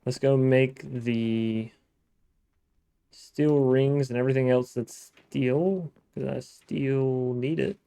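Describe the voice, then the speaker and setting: A man with a gravelly, mellow voice chats his way through a modded building game, musing aloud and correcting himself as he plays. The sound is dry and close to the mic. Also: medium pitch, steady tone, takes it slowly, no room sound. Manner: chatty, thinking aloud, frequent restarts and self-talk. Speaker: gaming let's-play host. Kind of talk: casual gameplay commentary.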